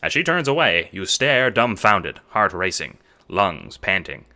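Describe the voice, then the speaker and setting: A man narrating a fan audio drama in a dramatic, measured noir style. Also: low voice, very animated, bright, forceful tone. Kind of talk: second-person noir narration.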